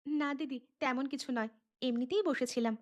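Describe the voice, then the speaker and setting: A woman voicing a gentle, homesick young bride in a cartoon, polite and soft-mannered. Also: sits high, normal speed, close to an everyday voice. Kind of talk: cartoon dialogue. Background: background music.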